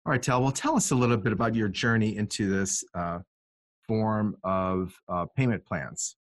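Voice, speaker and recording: A man co-hosting an interview, asking questions with hesitant pauses. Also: fairly low pitch, no room sound. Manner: hesitant, fills with uh. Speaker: co-host.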